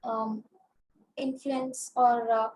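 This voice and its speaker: A woman with an Indian English accent giving a webinar lecture as a physiotherapist, hoarse from a throat infection, explaining with many fillers and restarts. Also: fairly high pitch, little pitch movement, very slow, a little mellow, poor audio. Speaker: consultant physiotherapist. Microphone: video call.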